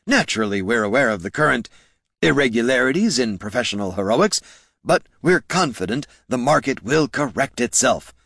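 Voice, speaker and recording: A man acts out an ancient dwarf banker from a fantasy storybook, harsh and condescending. The voice is husky and worn, with big swings of emphasis in a very dry recording. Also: medium pitch, lively intonation, moderate pace, fairly bright tone, no echo, voice pushed up, full character voice. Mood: smug, stern. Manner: snorting, stern, condescending.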